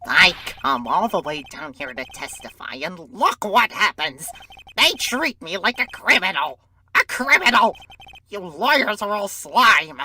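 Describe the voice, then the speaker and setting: Indignant, sharp-edged villainous witness. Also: medium pitch, big pitch swings, unhurried, a little hiss or hum, exaggerated voice. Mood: outraged, sneering. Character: indignant, sneering villainous male witness, a crooked salesman. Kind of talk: theatrical video game fandub.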